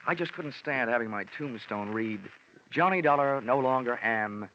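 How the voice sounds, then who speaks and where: An actor playing a wisecracking insurance investigator who narrates dryly in a 1950s radio drama. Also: male voice, medium pitch, animated, normal speed, a little hiss or hum, close to an everyday voice. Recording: dull old radio recording with music.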